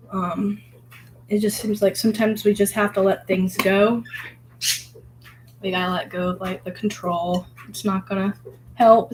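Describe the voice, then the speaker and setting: Hoarse woman musing while painting. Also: mid-range voice, a little hiss or hum, poor audio. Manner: rambling, filler-heavy, musing. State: hoarse from illness. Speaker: vlogger painting. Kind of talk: casual reflective monologue.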